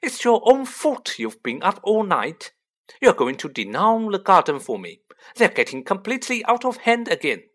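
A narrator voicing a scolding, bustling middle-aged mother witch in an audiobook, fussy but fond, with sharp exclamations. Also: medium pitch, sing-song, moderate pace, pitched above the performer's own voice.

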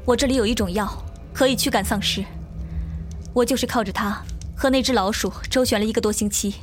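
Woman acting serious sciencey lady survivor, determined, highish voice, explains stuff, audio drama, music behind. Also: brisk, lightly acted. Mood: earnest recounting. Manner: earnest, explanatory.

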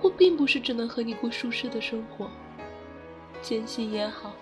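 A woman's voice: fairly high pitch, lively intonation, moderate pace, music in the background, close to an everyday voice. A young woman playing a spirited, devoted, playfully bossy college-age girlfriend in a Mandarin radio drama. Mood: tender, earnest. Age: young adult.

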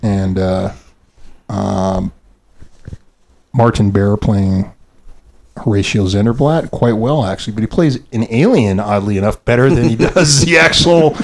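A man's voice: on the low side, unhurried, no echo. Hesitant man chatting casually, close dry sound. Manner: hesitant, searching for words, self-deprecating. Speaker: podcast host, audio drama producer. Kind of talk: casual rambling after-show chat.

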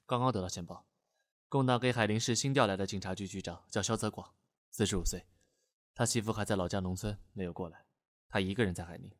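Man acting dutiful, low-voiced intelligence aide. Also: lightly acted. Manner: brisk, respectful reporting. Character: dutiful, deferential male intelligence subordinate. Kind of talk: Chinese audio drama acting. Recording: music clearly behind the voice.

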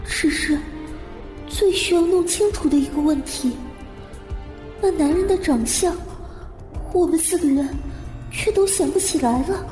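A high, mellow voice in long reverb over music: an anxious young woman, haunted by childhood trauma. She narrates a radio drama in the first person. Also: measured pace, pauses often. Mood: brooding, uneasy. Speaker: voice actor and narrator. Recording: reverberant echo with music underneath.

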